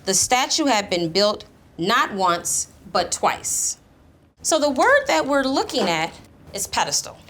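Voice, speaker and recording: A woman's voice: fairly high pitch, big pitch swings, slow pace, fairly bright tone, slight background noise. A woman who teaches young children, speaking instructively as she explains lessons, reads and guides pupils. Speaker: elementary school teacher. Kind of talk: explaining a lesson, reading aloud, questioning pupils.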